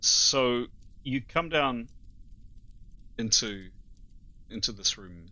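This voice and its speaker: A man running a tabletop game as game master, thinking out loud and correcting himself as he goes. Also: medium pitch, animated, drawn-out words, no echo, muffled recording. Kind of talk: casual tabletop game narration and adjudication.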